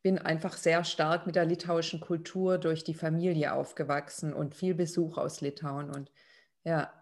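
Woman raised in Germany, attentive conversation partner, lower pitch, easy casual delivery. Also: level tone, moderate pace, darkish tone. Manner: attentive listener, frequent murmured agreement.

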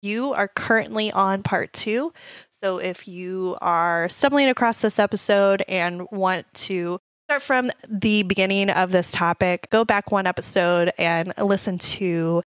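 A woman co-hosting a casual wedding podcast with her husband, joking and laughing often, her voice a bit hoarse and deep. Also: measured pace, dry recording. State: slightly hoarse, voice gone deep. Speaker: podcast co-host.

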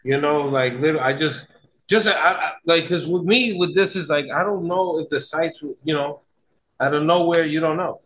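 High-voiced man, animated podcast guest. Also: moderate pace. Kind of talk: casual chat.

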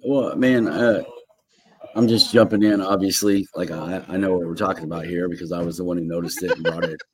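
A man's voice: low voice, fairly even intonation, fairly quick, noisy background. A man calling in to a live podcast as co-host, laid-back and wisecracking. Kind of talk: casual podcast chat.

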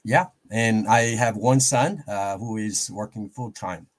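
A middle-aged man with a Korean accent speaks in a slightly low voice. He is an interview guest who explains his work in a measured, polite, conversational manner. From South Korea. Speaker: interview guest, professor and preaching pastor.

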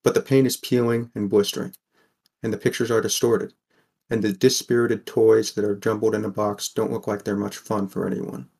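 A man as a low-voiced sardonic teenage boy narrator. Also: level tone, moderate pace, creaky, a little mellow. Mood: wry, reflective. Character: sardonic, wary sixteen-year-old boy from a poor mining district. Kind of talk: first-person scripted narration, audio drama.

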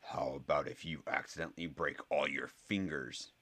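A man voicing a large, cold schoolboy bully who hisses his threats in a low whisper. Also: animated, moderate pace. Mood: menacing.

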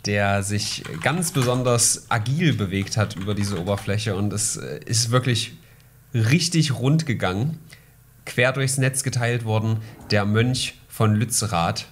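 A man's voice: fairly low pitch, a little slow, slight background noise. A man hosting a casual podcast, chatting with a joking, ironic tone. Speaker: podcast host.

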